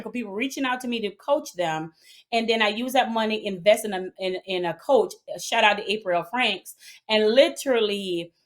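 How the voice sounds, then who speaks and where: A middle-aged woman with a Jamaican accent, a guest entrepreneur chatting casually in an interview and telling her story with animated energy. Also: medium pitch. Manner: animated storytelling with bursts of laughter.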